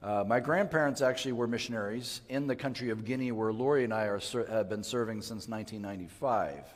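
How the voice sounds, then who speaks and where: A man's voice: fairly low pitch, fast talker. An older man who grew up in West Africa, speaking as a missionary in an earnest, emphatic preaching manner with frequent restarts. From West Africa (Mali). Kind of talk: prayer then church missionary talk. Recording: long hall reverb.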